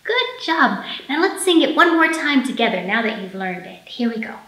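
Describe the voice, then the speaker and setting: A woman in her thirties leading a storytime for young children, speaking in simple, expressive phrases with plenty of questions. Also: fairly high pitch, moderate pace. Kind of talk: storytime teaching, singing and picture-book reading for young children. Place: indoor library storytime room. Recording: roomy echo, faint music.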